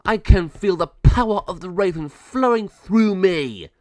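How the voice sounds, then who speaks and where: A man voicing a wicked sorceress in raven form, gloating and furious, with cackling, drawn-out delivery in a storybook reading. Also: high voice, animated, darkish tone, dry recording, voice pushed up. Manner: cackling, drawn-out taunts and wails.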